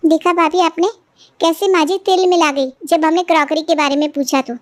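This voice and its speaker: Woman as high-pitched, aggrieved young daughter-in-law. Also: fairly even intonation, moderate pace, no room sound. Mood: indignant. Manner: complaining, insistent. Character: aggrieved, indignant young daughter-in-law, eager to expose wrongs. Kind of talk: cartoon family moral story dialogue.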